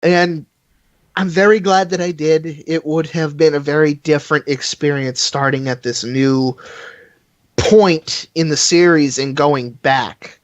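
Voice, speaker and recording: A man's voice: on the high side, steady tone, a little slow, dry recording, highs cut off. A man giving a casual podcast review over an internet call, chatty and rambling as he recommends things with enthusiasm. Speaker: podcast panelist reviewing a fan audio drama.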